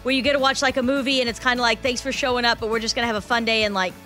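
A woman's voice: fairly high pitch, fairly even intonation, racing, pushed and bright, soft background music. A woman hosting a morning radio show, bubbly and enthusiastic as she chats with callers. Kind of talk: upbeat morning radio chat.

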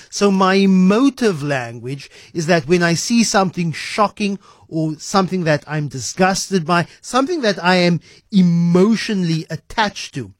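Host: South African male radio presenter, slightly high pitch, measured and clear. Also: no room sound, studio quality. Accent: South African English. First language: Afrikaans. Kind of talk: radio interview.

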